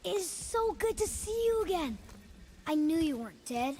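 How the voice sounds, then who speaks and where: Casting: performer as trusting young son for a game, high voice, inquisitive and earnest, over noisy outdoor ambience. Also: sing-song, moderate pace, a little mellow, full character voice. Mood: eager. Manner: eager, questioning, earnest. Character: eager, curious young boy, earnest and trusting. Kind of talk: cinematic game voice acting.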